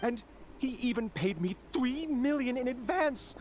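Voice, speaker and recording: An actor playing an anxious, cornered small-time criminal in a video game, nervous and halting. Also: male voice, very high-pitched, animated, slight background noise. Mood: distraught, bitter. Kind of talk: scripted video game voice acting.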